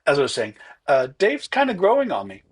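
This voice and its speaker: A man narrating his own golf round in a relaxed, chatty, self-deprecating way. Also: a little high, brisk, breathy edge. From not from Ireland. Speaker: golf vlogger. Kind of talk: casual voice-over commentary.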